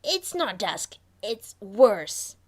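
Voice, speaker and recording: A woman's voice: medium pitch, big pitch swings, extremely slow, a little mellow, heavily acted. Woman doing a cocky tomboy pegasus girl, sarcastic, voice jumps all over the place. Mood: alarmed. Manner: brash, sarcastic. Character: brash tomboyish young female pegasus pony.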